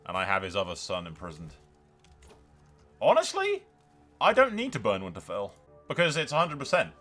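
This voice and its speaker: A man's voice: on the low side, huge pitch swings, normal speed, a bit bright, soft background music. A man hosting a gaming video, giving casual, improvised commentary that drifts into dramatic storytelling, sweary and speaking straight to viewers.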